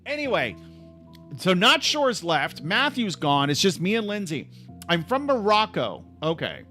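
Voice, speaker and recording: A man hosting a casual stream from his laptop at home, his voice sitting a little high and swinging widely in pitch. He rambles, trips over his words and restarts often, filling the gaps with "like." Soft music plays faintly behind him. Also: huge pitch swings, unhurried. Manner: rambling, restless, full of restarts and "like". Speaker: livestream host. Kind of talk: casual livestream chat.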